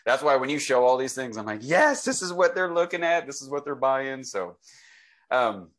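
A man's voice: mid-range voice, big pitch swings, moderate pace, a bit bright. A man in his forties hosting a casual interview over a video call, talking in an enthusiastic, conversational way. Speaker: host, real estate agent. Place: home office, video call. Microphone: computer mic on a video call.

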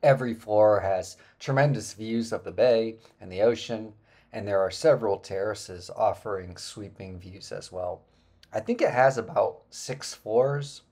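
A man in his forties narrating a travel vlog about a hike, relaxed and explanatory, with frequent filler words. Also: fairly low pitch, measured pace. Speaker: travel vlogger. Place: indoor room, then voice-over on hike footage.

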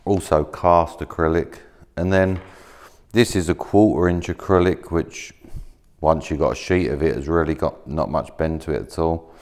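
A deep, smooth-voiced man in his thirties talks through a workshop demonstration in an easygoing, rambling way, full of fillers and restarts. He sounds relaxed and unscripted as he handles materials at a work table. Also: normal speed. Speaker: maker demonstrating laser-cut materials.

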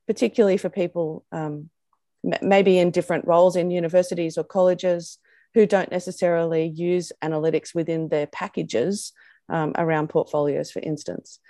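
Australian woman, lowish warm voice, dry close sound, video call talk, thoughtful with ums. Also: little pitch movement, normal speed, dry recording. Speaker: education researcher. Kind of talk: webinar presentation.

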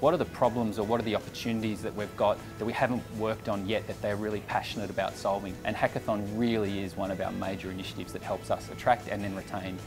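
Man in his thirties, low-ish voice, measured managing-director type, office interview over a music bed. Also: level tone. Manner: measured, thoughtful, repeats words when restarting. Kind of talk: corporate video interview. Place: office kitchen area indoors.

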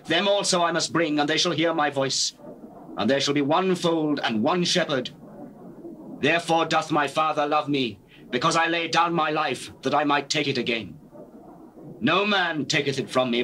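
A solemn holy teacher declaims with authority in classical stage style. The voice is bright, forceful and slightly high, with deliberate pauses, on a faintly hissy old Bible drama cassette. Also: male voice, poor audio.

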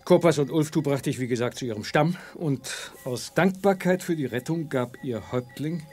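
An actor playing a calm, learned professor who explains in a measured way in a children's sci-fi radio play. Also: male voice, a little high, breathy edge. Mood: explaining.

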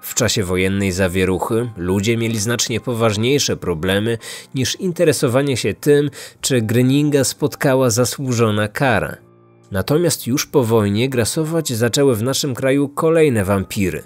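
A man's voice: mid-range voice, normal speed. A man reading a scripted, dramatic true-crime narration as a podcast narrator. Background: music.

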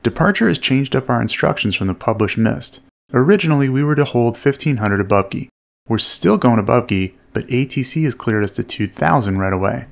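A man with a creaky, gravelly edge explains a flight step by step. He speaks at a medium pitch in a measured, instructional manner, like a flight instructor giving commentary. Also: expressive.

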